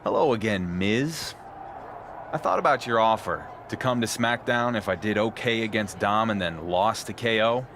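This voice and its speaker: A man's voice: lowish voice, moderate pace, background noise, close to an everyday voice. An actor playing a cocky, unbothered young male wrestler in scripted game cutscene dialogue. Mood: cocky, cool. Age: young adult.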